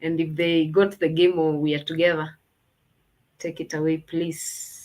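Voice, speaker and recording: A woman's voice: low-pitched, level tone, measured pace, a little mellow, poor audio. A woman hosting a casual online launch party over a video call, chatty and thinking aloud as she talks. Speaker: host.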